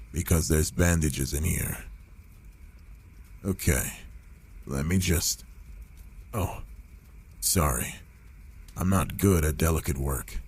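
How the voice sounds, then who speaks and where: A man voicing a gruff, reclusive werewolf who is reluctantly caring and protective, speaking haltingly in an ASMR boyfriend roleplay. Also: very deep voice, darkish tone, heavily acted. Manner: slow, halting, murmured delivery with pauses.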